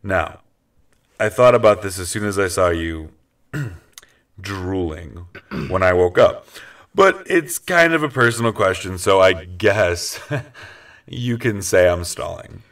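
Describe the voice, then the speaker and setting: Man acting as wry vigilante detective, low male voice, cocky, casual teasing, superhero comedy audio drama, distant from mic. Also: lively intonation, slow pace, pauses often, fairly bright tone, full character voice. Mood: hesitant, sly.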